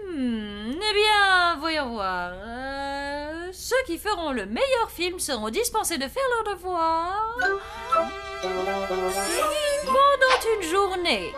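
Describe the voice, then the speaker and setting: A woman's voice: very high-pitched, big pitch swings, slow pace, heavily acted. A woman voicing a fussy, flustered, exasperated schoolteacher in a cartoon. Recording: loud music behind the voice.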